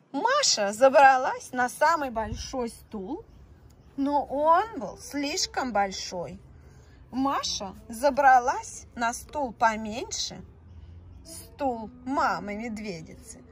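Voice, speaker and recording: A woman telling a children's fairy tale in a slow, expressive, sing-song way. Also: sits high, husky. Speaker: storyteller. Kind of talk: children's story narration with paper puppets. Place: indoors, tabletop on green felt.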